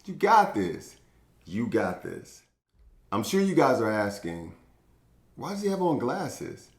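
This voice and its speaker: Animated, slow-paced man reading to children. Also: mid-range voice. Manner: encouraging, expressive, addresses children directly. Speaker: children's storytime host. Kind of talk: children's read-aloud and upbeat chat to young viewers.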